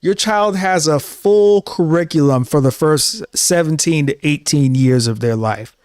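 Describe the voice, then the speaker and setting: A man's voice: a little high, unhurried, a little mellow, no room sound, clean studio sound. A man in his thirties giving an earnest, preachy talk to camera as a show host, often repeating himself. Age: 30s. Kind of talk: casual monologue to camera.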